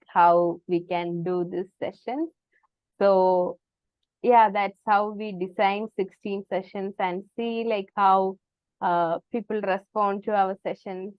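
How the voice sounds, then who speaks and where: A woman's voice: medium pitch, clear, resonant tone, no echo, muffled recording. A woman facilitating an online group session, speaking hesitantly with many restarts and fillers.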